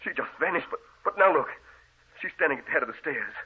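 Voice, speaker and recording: A man's voice: on the high side, expressive, quick pace, breathy edge. An actor playing a distraught bedridden man obsessed with his dead beloved, insistent and melodramatic, in old-time radio horror style. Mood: desperate yearning. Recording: tinny, band-limited old radio recording.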